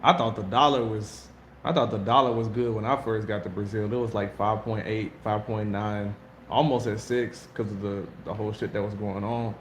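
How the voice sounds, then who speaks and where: A man's voice: lowish voice, fairly even intonation, moderate pace. An American man hosting a casual livestream, talking loosely in slang and laughing often. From United States. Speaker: livestream host. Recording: echoey room with faint noise.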